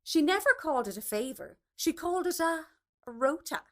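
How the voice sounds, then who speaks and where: A woman narrates a literary short story in a high voice. Her reading is expressive, with pitch that moves widely from phrase to phrase. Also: a little fast. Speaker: story reader.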